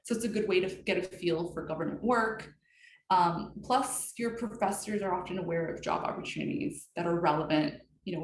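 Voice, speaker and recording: A woman giving career advice in a presentation over a video call, measured and full of ums. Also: on the low side, echoey room, poor audio. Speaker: guest speaker.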